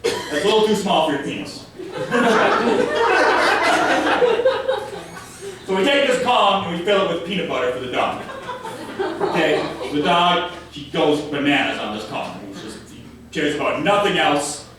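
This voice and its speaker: A man's voice: fairly high pitch, lively intonation, measured pace, pauses often. Minnesotan man doing stand-up. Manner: self-deprecating, crowd work. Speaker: stand-up comedian. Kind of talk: stand-up comedy set. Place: comedy club stage. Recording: echoey club audio from a distance.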